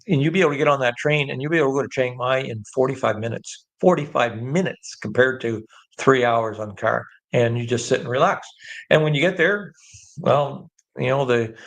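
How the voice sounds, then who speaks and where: An older Canadian man from the Ottawa Valley hosting a casual live stream, rambling with many ums and restarts. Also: medium pitch. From Canada, Ottawa Valley in Ontario. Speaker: livestream host.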